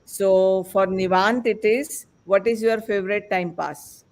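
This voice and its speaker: A man hosting a panel discussion as podcast host, speaking in a halting, rambling way. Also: sits high, steady tone.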